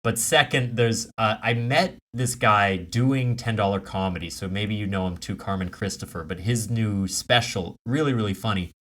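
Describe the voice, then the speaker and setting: A man's voice: lowish voice, normal speed, few pauses, fairly bright tone. A man co-hosting a podcast, chatting casually and jokily. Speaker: podcast co-host. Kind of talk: casual comedic chat.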